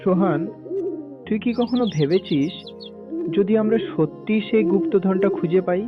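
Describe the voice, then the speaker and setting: A man's voice: high-pitched, unhurried, music in the background. A man voicing an adventurous young village boy in a cartoon, talking eagerly and encouragingly. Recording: lo-fi phone-like sound.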